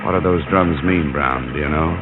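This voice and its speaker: An actor playing a tough, world-weary American investigator in 1950s radio noir, terse and measured in dialogue and narration. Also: male voice, a bass voice, music in the background. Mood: somber. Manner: terse, measured, hard-boiled. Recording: muffled, noisy old radio recording.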